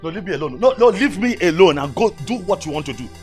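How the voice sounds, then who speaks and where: A man's voice: high voice, big pitch swings, fast talker, a little mellow, faint music behind. A man playing a frustrated village husband who complains in exasperation. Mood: exasperated.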